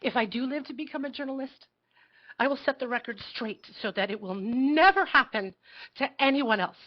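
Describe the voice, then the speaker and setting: An earnest, idealistic young girl, played by a woman, fearful yet pleading. The voice is somewhat high and moves haltingly through a dramatic table read. The recording is slightly dull. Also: expressive. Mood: resolute. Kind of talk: live table read of a satirical play. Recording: dull lo-fi audio.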